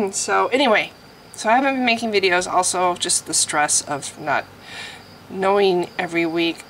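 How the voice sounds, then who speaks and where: A woman vlogging in a casual, rambling way, chatty and self-deprecating, who keeps clearing a scratchy throat. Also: mid-range voice, a bit bright. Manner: chatty, self-deprecating, frequent laughs and restarts. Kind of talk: casual rambling chat to camera.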